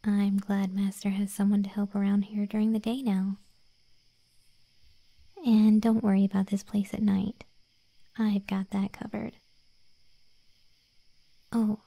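A protective monster girl, a gargoyle night guard, played by a woman for an ASMR roleplay. Her voice is very dark and soft, with a breathy whisper to it. She speaks slowly and intimately, right up at the mic in a dry space. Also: medium pitch, dry recording. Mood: friendly, helpful. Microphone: close microphone, dry.

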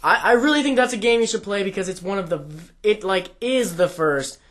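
A young man co-hosting a casual chat show, animated and quick with asides, recorded on a desk mic in a small home studio. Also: sits high. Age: young adult. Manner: animated, talks with his hands, quick asides. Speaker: co-host. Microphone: desk condenser mic.

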